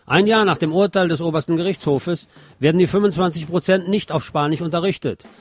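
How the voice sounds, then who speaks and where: A man's voice: fairly high pitch, fairly quick. A man presenting the news, reading scripted reports. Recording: music under the voice.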